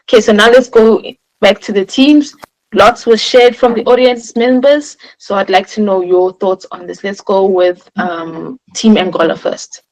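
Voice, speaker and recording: A woman's voice: medium pitch, fairly even intonation, unhurried, a little mellow, rough recording. Casual woman moderating a debate. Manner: informal, apologetic self-corrections.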